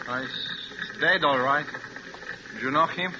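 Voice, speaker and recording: A man's voice: on the high side, moderate pace, close to an everyday voice. An actor in a 1940s-style radio drama playing a solemn, sympathetic, matter-of-fact man among war casualties. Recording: noisy, dull vintage radio recording.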